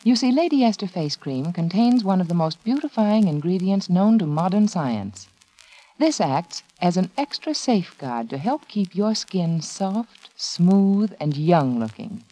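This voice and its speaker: A woman announcer delivering a scripted 1940s radio advertisement in a measured, persuasive manner. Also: fairly low pitch, big pitch swings, dark tone. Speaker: commercial announcer.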